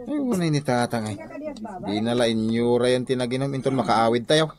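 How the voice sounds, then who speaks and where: A man's voice: mid-range voice, lively intonation, a little fast, a little mellow, natural voice. A man playing an easygoing, teasing young graduate son with an Ilocano Filipino accent in a radio comedy drama. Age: young adult.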